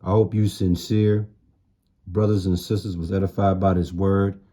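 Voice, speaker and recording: A man's voice: low-pitched, flat delivery, moderate pace, dark tone. A man giving an informal sermon in blunt, streetwise slang, repeating phrases for emphasis. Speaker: street-style preacher.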